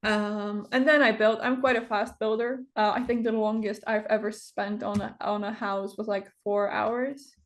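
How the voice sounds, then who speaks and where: A woman in her twenties, speaking English as a second language, talks on a video call as an interview guest, hesitant and full of pauses and fillers. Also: medium pitch, little pitch movement. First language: not English. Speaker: interview guest, game builder. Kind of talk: casual conversation.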